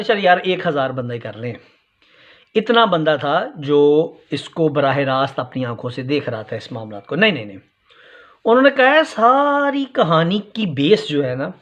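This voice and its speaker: A man giving unscripted critical commentary in a halting, repetitive, challenging manner. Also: a little high. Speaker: vlogger commenting on a sermon clip. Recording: dull, lo-fi sound.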